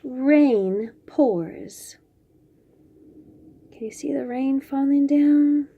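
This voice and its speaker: A woman reading picture books aloud to young children, expressively, with drawn-out phrases and chatty asides. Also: sits high, creaky, narrow, tinny audio. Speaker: children's read-aloud host.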